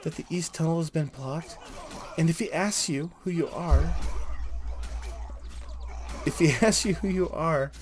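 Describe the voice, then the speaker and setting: A grateful woman sergeant from a fantasy game, acted out by a man, speaks her quest lines in a raised pitch. Clear game noise runs behind the voice. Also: measured pace, pauses often, natural voice. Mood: earnest, pleading. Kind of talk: reading quest dialogue aloud.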